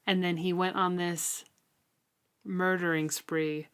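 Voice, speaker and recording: A woman co-hosting a book discussion podcast, chatting casually and colloquially with animated emphasis. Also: on the low side, slow pace, clear, resonant tone. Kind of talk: casual chat about a novel.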